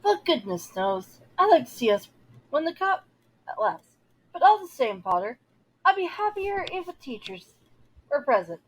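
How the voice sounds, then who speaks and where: Woman as strict older female teacher, prim and halting, high voice that swoops about, audio drama. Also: very animated, rough recording. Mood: stern concern.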